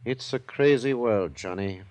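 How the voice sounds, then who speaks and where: An American actor playing a weary, cynical but sentimental police lieutenant in a 1950s radio drama, husky and wry in a plainspoken way. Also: male voice, mid-range voice, a little slow, a little mellow, dry recording, natural voice. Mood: gloomy, reflective.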